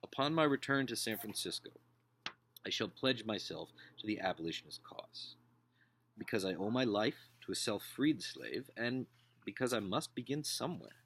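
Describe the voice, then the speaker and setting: A man playing an earnest, idealistic upper-class nineteenth-century gentleman in a dramatic book reading, solemn, deliberate and oratorical. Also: on the low side, animated, creaky, slight background noise. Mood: impassioned.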